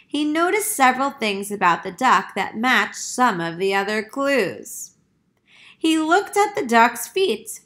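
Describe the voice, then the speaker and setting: A woman reading a picture book aloud to young children in a deliberate, emphatic teacher's manner. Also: on the high side, big pitch swings, fairly bright tone.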